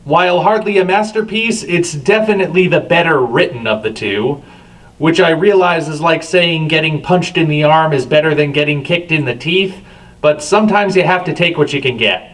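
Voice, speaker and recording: A man's voice: fairly high pitch, moderate pace, fairly bright tone. A man hosting a review with scripted commentary and a wry, sardonic manner. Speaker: reviewer, show host. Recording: distant from the microphone.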